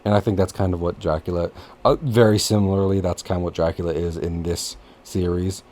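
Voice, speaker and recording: Low-voiced man chatting on a podcast. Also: fairly quick. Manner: rambling, jokey, animated, swears casually. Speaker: podcast host. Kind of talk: casual podcast chat about animated series.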